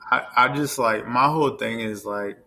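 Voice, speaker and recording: A man's voice: medium pitch, moderate pace, vocal fry, bright, forceful tone. A man speaking as a podcast guest, thoughtful and hedging in casual conversation.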